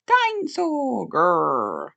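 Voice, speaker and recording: A woman voicing an excited little toddler boy pig in a storybook, in single babyish words and growls. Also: on the low side, drawn-out words, vocal fry, dull audio, full character voice. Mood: playful, roaring. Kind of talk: children's storybook read-aloud.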